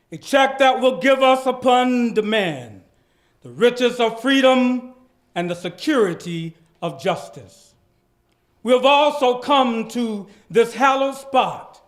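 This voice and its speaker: Man performing an impassioned, high-pitched, slow civil rights orator. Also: a bit bright, exaggerated voice. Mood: urgent, impassioned. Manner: declamatory, solemn, drawn-out phrases. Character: impassioned male civil rights orator addressing a great crowd. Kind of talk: dramatic reading of a 1960s oration.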